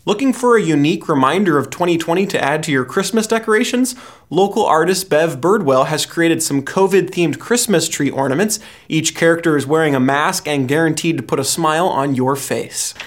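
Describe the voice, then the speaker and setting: Higher-pitched man anchoring local news. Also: brisk. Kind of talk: scripted news narration.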